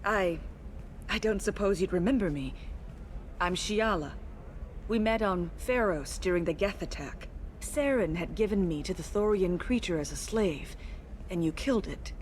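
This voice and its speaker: An actress playing an earnest, polite alien woman in a video game, speaking in a measured, diplomatic manner. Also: medium pitch, slight background noise, close to an everyday voice. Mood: hesitant, grateful. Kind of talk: video game dialogue acting.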